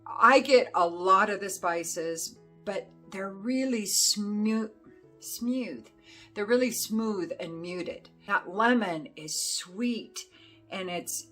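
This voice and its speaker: Hoarse chatty woman, rambling to camera. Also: on the low side, fairly bright tone. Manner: rambling, chatty, with many fillers. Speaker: fragrance reviewer. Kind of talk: casual chat to camera.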